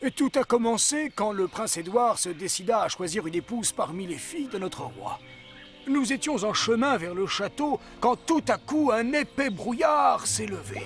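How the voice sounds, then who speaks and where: A man's voice: high voice, sing-song, moderate pace. A man voicing a loyal, anxious royal coachman in a cartoon, fretful, formal and melodramatic. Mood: gloomy recounting. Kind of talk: French cartoon dubbing. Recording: music behind the voice.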